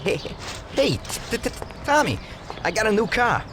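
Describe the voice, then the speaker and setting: An actor playing an eager, nervous American mob mechanic who stutters heavily, in a game cutscene. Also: male voice, sits high, very animated, moderate pace, noisy background. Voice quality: heavy stutter.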